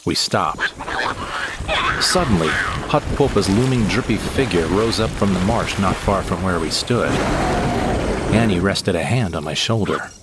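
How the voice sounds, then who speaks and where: A man voicing a young sidekick who narrates his space adventure in a steady, descriptive first-person storybook style. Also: low voice, a little slow, very noisy. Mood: narrating. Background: dramatic score.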